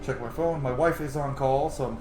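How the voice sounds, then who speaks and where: A streamer giving casual, excitable and sweary commentary on a video game. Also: mid-range voice, brisk, music in the background. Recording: roomy, echoey, noisy game stream.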